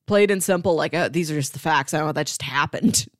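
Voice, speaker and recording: A woman's voice: low-pitched, fast, no room sound, clean studio sound. A woman co-hosting a film podcast, talking through a movie in casual, conversational style.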